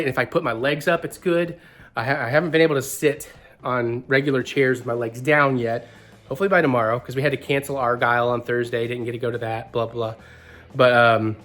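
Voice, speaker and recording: A man's voice: medium pitch, a bit bright. A man with a stuffy head cold, talking casually and rambling on his vlog, often laughing. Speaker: vlogger.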